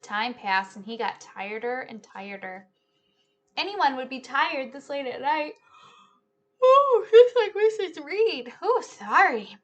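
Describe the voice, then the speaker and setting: A woman in her thirties reading a children's story aloud in an expressive, animated way, indoors on a webcam. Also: high voice, unhurried. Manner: animated, hushing and swelling with the story. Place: small living room, indoors. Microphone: webcam at desk distance.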